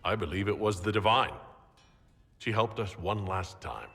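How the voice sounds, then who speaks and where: A man's voice: lowish voice, normal speed, a bit bright, long reverb tail. A man playing a plain-spoken, resolute hero in a video game, direct and serious in a restrained way. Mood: thoughtful. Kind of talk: dramatic video game acting.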